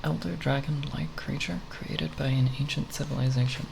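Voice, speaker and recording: An ASMR creator speaking softly and breathily, half whispering in a halting, unscripted ramble with long pauses, close to the microphone. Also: medium pitch, steady tone, background noise. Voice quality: soft, breathy, partly whispered.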